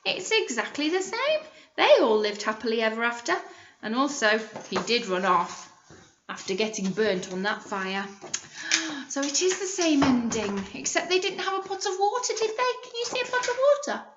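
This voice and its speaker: A woman's voice: on the high side, very animated, moderate pace, noisy background. A woman, a teacher reading a storybook expressively to young children and prompting them with simple questions. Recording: echoey room, slightly muffled.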